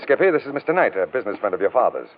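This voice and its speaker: Actor as a flustered father, animated pitch. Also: rapid-fire, lightly acted. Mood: genial, sheepish. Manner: hesitant, apologetic. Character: flustered, anxious father and genial host. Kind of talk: 1940s radio drama acting. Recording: hissy, tinny old radio recording.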